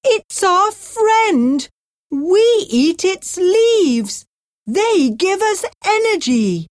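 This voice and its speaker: A woman voicing a giraffe begging the man not to hurt the tree, raising her voice high and speaking slowly. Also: very animated, dry recording, studio quality, exaggerated voice. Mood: pleading. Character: big gentle giraffe pleading. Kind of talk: storybook reading for pupils.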